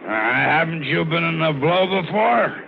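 A bullying, hard-drinking tramp steamer captain in a mid-century radio play. His voice is gravelly and fairly high, gruff and sarcastic, on a noisy old radio recording. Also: animated, unhurried, highs cut off, heavily acted. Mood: irritable, sarcastic. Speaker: actor in a radio drama. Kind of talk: 1940s-50s radio drama acting.

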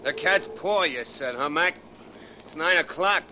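Chatty, nosy cab driver for a male actor, slangy and familiar, higher-pitched, vintage radio with noisy street backdrop. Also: measured pace, close to an everyday voice. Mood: chatty, curious. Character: folksy, talkative, nosy male New York cab driver. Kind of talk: 1940s radio drama acting.

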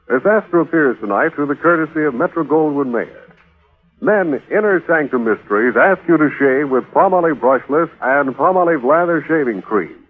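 A man's voice: fairly high pitch, slightly rough. A man announcing in scripted 1940s radio style, reading credits and sponsor copy on a muffled old broadcast.

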